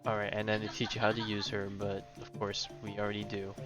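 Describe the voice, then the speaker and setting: A man's voice: on the low side, completely flat delivery, quick pace. A man doing casual live gameplay commentary as a streamer, with offhand, wry asides. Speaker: game streamer. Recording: game music behind the voice. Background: game music.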